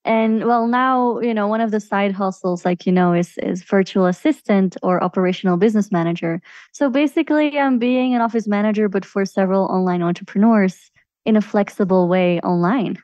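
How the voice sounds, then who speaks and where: A woman's voice: mid-range voice, fairly quick, a little mellow, no room sound, highs cut off. A woman from Belgium with a Belgian accent, chatting casually as a podcast guest. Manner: chatty, with fillers and restarts. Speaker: podcast guest, virtual assistant. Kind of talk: casual interview answers.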